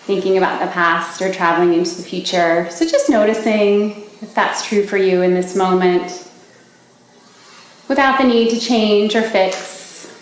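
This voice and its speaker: Woman guiding meditation in a very echoey room. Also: mid-range voice. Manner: slow, gentle instructions with long pauses. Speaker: meditation teacher leading a body scan. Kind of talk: guided meditation, spoken live to a group. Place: large indoor gathering space. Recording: very echoey room with faint background noise.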